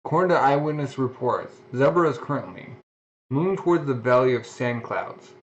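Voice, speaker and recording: A man voicing a male television news reporter, reading out a report in a halting way. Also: medium pitch, expressive, slight background noise, natural voice. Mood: announcing. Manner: halting, announcing. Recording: dull lo-fi recording.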